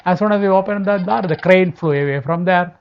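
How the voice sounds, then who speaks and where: A woman with an Indian accent telling a folk tale in halting, repetitive, unscripted phrasing. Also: on the low side, dull audio, a little hiss or hum. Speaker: storyteller.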